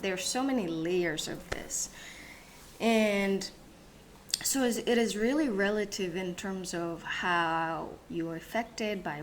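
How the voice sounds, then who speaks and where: A woman's voice: lowish voice, background noise. A woman born in Haiti and raised in the United States, hosting a reflective solo talk, thinking aloud with many restarts and fillers. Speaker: host.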